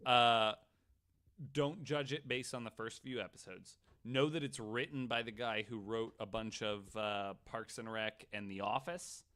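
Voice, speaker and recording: A man hosting a casual podcast chat, sweary and excitable. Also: fairly low pitch, unhurried. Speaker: podcast host.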